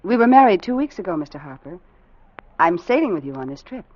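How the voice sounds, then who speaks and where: An actress playing the anxious, well-spoken wife of a ship's captain in an old-time radio drama. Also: female voice, on the low side, sing-song, quick pace. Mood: polite, brittle. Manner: polite, dramatic delivery. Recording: muffled, noisy vintage radio recording.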